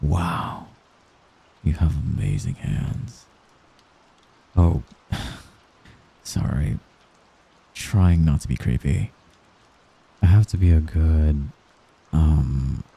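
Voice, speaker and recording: A man playing a young mafia heir in an intimate ASMR roleplay, a gentle, hesitant and possessive friend who gives orders apologetically. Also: rumbling low, vocal fry, husky, heavily acted. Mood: shy, smitten. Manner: soft-spoken, halting, apologetic while giving orders.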